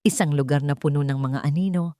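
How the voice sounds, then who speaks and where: Female storyteller, low register, dramatic scripted narration, dry close sound. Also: steady tone, brisk, dark tone, no room sound.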